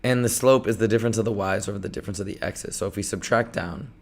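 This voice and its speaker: A man with a hoarse, failing voice leads a live-streamed math tutoring session, talking through problems step by step with energy. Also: fairly low pitch, fast, darkish tone. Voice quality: gravelly, strained. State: hoarse, losing his voice.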